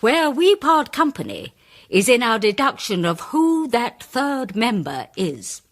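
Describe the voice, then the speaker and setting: Grand lady playwright in a radio mystery: self-important, declamatory, hugely animated delivery with a slightly husky edge. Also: a little high. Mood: pointed, assured. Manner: declamatory, grandiloquent, deliberate emphasis. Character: theatrical, self-important woman playwright presenting her case. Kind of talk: dramatised audio mystery, formal speech-making.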